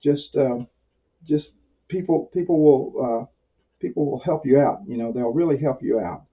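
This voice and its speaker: A man giving an informal beginner tutorial in a folksy, rambling way, halting often with pauses. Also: mid-range voice, husky. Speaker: amateur radio instructor. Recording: very muffled, dull lo-fi audio.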